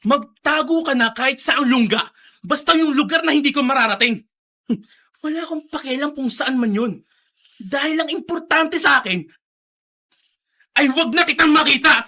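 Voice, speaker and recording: An actor playing a bitter, furious adult son in a Filipino radio drama, shouting with scorn and overwrought emotion. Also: male voice, very high voice, normal speed, full character voice. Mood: furious, anguished.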